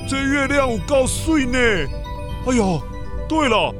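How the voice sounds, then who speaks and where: An excitable old Taiwanese uncle from a children's radio play, pitched very high and taking his time. He is folksy and exclamatory, with clear music playing behind him. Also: unhurried. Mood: eager. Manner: exclamatory, folksy, stammering in indignation. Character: excitable old Taiwanese uncle, garbage-truck storyteller turned space hero. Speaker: children's story show host.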